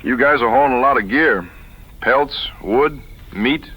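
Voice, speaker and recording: An actor playing a steady, plain-spoken frontier hunter in an audio western, with measured folksy phrasing. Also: male voice, mid-range voice, breathy edge, low-quality recording. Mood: curious. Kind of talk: dramatized audio western dialogue.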